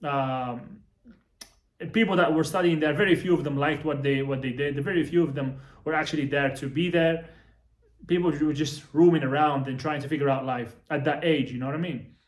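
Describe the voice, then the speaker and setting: A man's voice: on the high side, moderate pace. A young man from Morocco telling his life story casually to the camera, repeating himself and swearing now and then. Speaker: vlogger, coach. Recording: echoey room.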